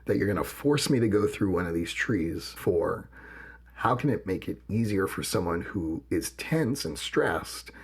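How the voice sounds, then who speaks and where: A man co-hosting a podcast, with a gravelly voice, talking casually and telling personal stories. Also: deep voice, lively intonation, unhurried. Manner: personal, reflective, tells anecdotes. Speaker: podcast co-host. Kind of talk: casual conversation.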